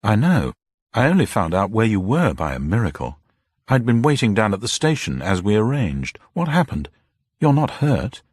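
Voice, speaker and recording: A man's voice: on the low side, big pitch swings, normal speed, darkish tone, breathy edge, no echo, clean studio sound, voice pushed up. A man voicing a cool, matter-of-fact young woman in a radio drama reading. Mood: concerned.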